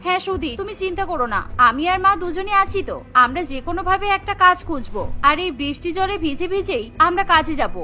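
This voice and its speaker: A woman as an earnest, high-voiced young cartoon wife. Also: animated, fast, few pauses, bright, forceful tone, soft background music, full character voice. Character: caring, earnest young wife and daughter-in-law. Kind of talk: cartoon voice acting.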